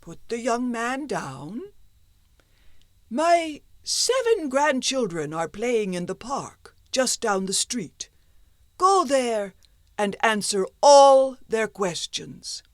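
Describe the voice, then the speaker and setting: Woman as a measured, wise old rabbi. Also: fairly high pitch, very animated, no room sound, studio quality. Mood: calm, commanding. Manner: measured, admonishing. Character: kind, wise old male rabbi, calmly authoritative.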